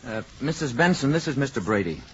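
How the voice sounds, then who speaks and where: A calm, mysterious gentleman in a vintage radio play. He is polite and self-assured, with a middle-pitched voice that moves freely in tone. The old recording is muffled with slight noise. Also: slightly rough. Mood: courteous. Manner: measured, polite, quietly assured. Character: calm, courteous, mysterious stranger, adult man. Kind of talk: 1950s radio drama acting.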